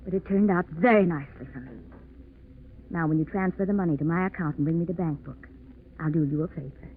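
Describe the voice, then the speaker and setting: An American actress playing a smug, scheming blackmailer in a 1940s radio drama, purring with mocking politeness on a muffled, noisy old recording. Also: female voice, low voice, quick pace. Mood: smug, taunting.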